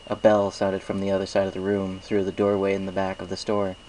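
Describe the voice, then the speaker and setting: A man with a fairly low voice narrates a story in the first person. He reads from a script as the narrator. Slight noise runs behind his voice. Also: monotone, fairly quick, mellow, rough recording. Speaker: fan fiction narrator.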